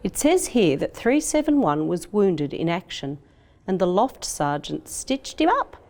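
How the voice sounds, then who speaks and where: A woman's voice: on the low side, big pitch swings, unhurried, a little mellow. A woman voicing a kindly, proud Australian father in a children's storybook. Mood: reassuring.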